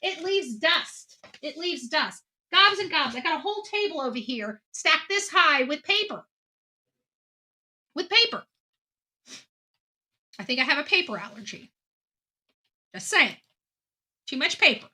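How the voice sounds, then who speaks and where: A woman's voice: high voice, very animated, unhurried, long pauses, sharp tone. A woman in her forties giving impassioned, indignant off-the-cuff commentary, stressing points by repeating phrases. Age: 40s. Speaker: online commentator hosting a true-crime show.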